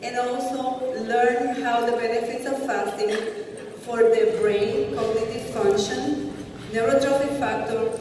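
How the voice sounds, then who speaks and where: A woman physician in her forties from Puerto Rico, a native Spanish speaker with a Puerto Rican accent, giving a conference lecture in quick, informal English with non-native phrasing. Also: on the high side, mellow, slight background noise. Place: large conference hall. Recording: echoey hall from far off.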